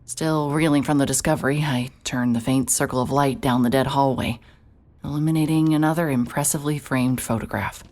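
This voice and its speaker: Very low-voiced woman narrating horror. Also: fairly even intonation, brisk. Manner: tense, breathless, with sudden shouts and hushed whispers. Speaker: narrator and lead character. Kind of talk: first-person horror audio drama narration.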